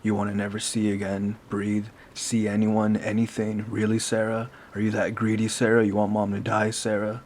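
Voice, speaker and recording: A man's voice: on the low side, monotone, normal speed, hardly any pauses, a little mellow, slight background noise, close to an everyday voice. A man voicing a male killer who taunts in a falsely soothing, coaxing way. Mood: taunting.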